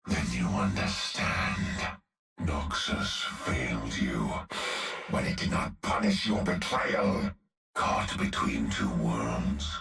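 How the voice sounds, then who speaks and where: A monstrous cyborg executioner growls grim threats in an extremely deep, gravelly voice and drags out every word at a crawl. The game clip sounds roomy and distant, with faint music underneath. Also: male voice, fairly bright tone, full character voice. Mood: menacing. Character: monstrous male cyborg executioner, vengeful and menacing.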